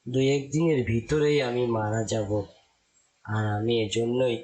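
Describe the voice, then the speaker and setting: Man playing a slow-talking old lion, pleading. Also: mid-range voice, a little mellow, husky, poor audio. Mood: feigned remorse. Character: old lion pleading for forgiveness, feigning frailty. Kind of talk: cartoon voice acting.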